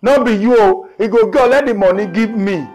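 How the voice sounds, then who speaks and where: A man's voice: high-pitched, normal speed, faint music behind, lightly acted. A man with a Nigerian accent playing an angry husband who scolds his wife in a comedy skit. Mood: scolding. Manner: scolding, shouting orders.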